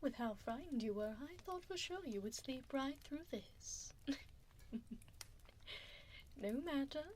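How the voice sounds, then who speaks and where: A woman playing a cruel, haughty vampire hostess in an ASMR roleplay, speaking in breathy whispers and soft voice with condescending, formal diction, close to the microphone. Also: high voice, lively intonation, takes it slowly, long pauses, a little hiss or hum. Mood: cold, scolding. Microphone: close binaural microphone.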